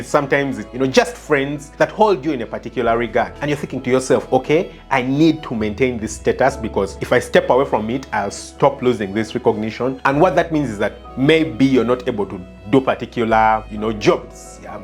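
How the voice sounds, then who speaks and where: Earnest Ugandan man, "yeah?" check-ins. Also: medium pitch. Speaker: former doctor turned farmer, channel host. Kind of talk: motivational talk to camera.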